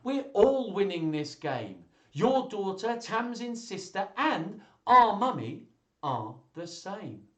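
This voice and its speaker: A man acts out proud, adoring relatives from a children's storybook. The delivery is emphatic and sing-song, swooping widely in pitch, with drawn-out words. The room sounds echoey. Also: very animated, fairly bright tone, full character voice. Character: storybook family members proudly praising a loved one. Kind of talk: slow, expressive children's story reading. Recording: roomy, echoey live stream sound.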